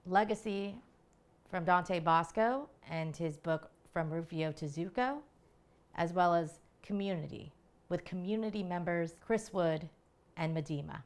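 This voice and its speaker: Low-voiced woman hosting and reading aloud. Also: a little slow. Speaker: segment curator and host. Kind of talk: introduction and reading from her own novella.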